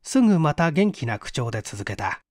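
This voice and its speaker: A man reading a mystery novel aloud as scripted narration, measured and expressive. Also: a little high, dry recording, clean studio sound. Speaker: audiobook reader.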